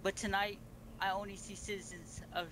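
A man's voice: sits high, little pitch movement, moderate pace, pushed and bright. A man voicing a pregnant beast-woman empress who formally welcomes guests to her feast. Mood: stately. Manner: formal, grand speech with restarts. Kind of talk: role-play in a multiplayer game. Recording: noisy game-chat audio.

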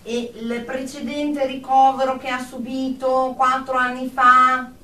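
Distant and roomy, this is a an actress's female psychiatrist in a radio play. Her voice is fairly high and she speaks slowly, with pompous, clinical authority. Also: flat delivery, bright, forceful tone. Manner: clinical, pompous, deliberate jargon. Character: brisk clinical woman psychiatrist interviewing a patient. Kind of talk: Italian radio drama acting. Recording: noisy, distant, echoey room recording.

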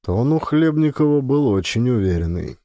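Male audiobook narrator, mellow tone, lively intonation, dry close recording, scripted storytelling. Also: medium pitch, moderate pace, no echo.

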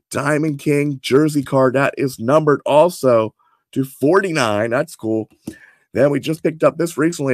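Hoarse man hyping trading cards. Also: mid-range voice, no echo. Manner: enthusiastic salesman, showing cards to camera. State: losing his voice from a cold. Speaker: card subscription box host. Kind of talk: product preview for subscribers. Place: home office room with shelves. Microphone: webcam at desk.

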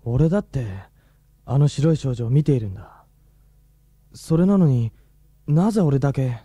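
A man's voice: medium pitch, normal speed, mellow, no echo, lightly acted. A young man acting in a radio drama as an earnest, brooding teenage schoolboy who speaks plainly. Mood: puzzled. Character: teenage schoolboy kendo player, earnest and troubled.

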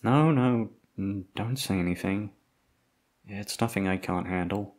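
A man playing a tough, protective, foul-mouthed bodyguard with a husky voice in an ASMR audio roleplay. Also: fairly low pitch, a little slow, darkish tone, close to an everyday voice. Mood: hushed, reassuring. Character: tough protective male bodyguard, foul-mouthed, tender toward his charge.

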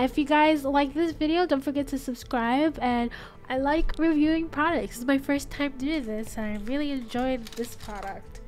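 Brief: young woman, high bright voice, soft and bubbly, home studio mic, faint music behind. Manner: bubbly, chatty, frequent gasps and laughs. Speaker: product reviewer. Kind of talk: casual product review. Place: small home studio room, indoors. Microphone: studio mic on boom arm.

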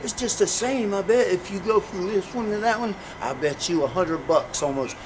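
Street interviewee, man, slightly high pitch, earnest and preachy, traffic noise behind. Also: moderate pace. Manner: preachy, earnest, fillers like "you know". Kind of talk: street interview, casual storytelling.

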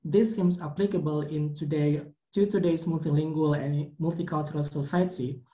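A young man whose first language is Indonesian, a PhD candidate giving a measured conference presentation with occasional fillers. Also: a little high, fairly even intonation, mellow, echoey room, rough recording. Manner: careful, measured academic delivery with some fillers. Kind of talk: recorded conference presentation over slides.

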